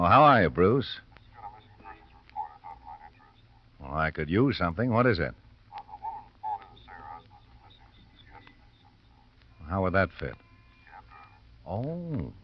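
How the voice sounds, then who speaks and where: An actor playing a harried police lieutenant, weary, sardonic and grumbling, in 1950s radio drama style. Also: male voice, deep voice. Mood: brisk. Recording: muffled, noisy old radio recording.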